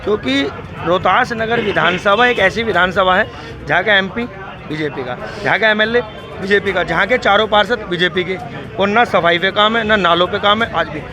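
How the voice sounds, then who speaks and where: A man's voice: high voice, normal speed, over music, crowd noise. Confident male party worker. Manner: confident, chants slogans. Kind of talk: street interview.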